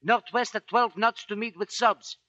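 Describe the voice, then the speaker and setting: High, urgent navy signalman. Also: fairly even intonation, moderate pace, sharp tone, no room sound. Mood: urgent, clipped. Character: alert navy sailor translating intercepted radio messages, urgent. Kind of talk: 1940s radio acting. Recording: dull 1940s radio broadcast recording.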